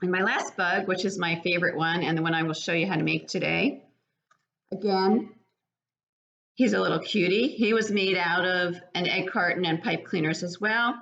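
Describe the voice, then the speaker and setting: A woman's voice: fairly low pitch, moderate pace, gravelly, fairly bright tone. An older woman, explaining a children's craft step by step in a plain way, like a preschool educator. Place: living room at home, indoors. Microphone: webcam at about arm's length. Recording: dull webcam sound.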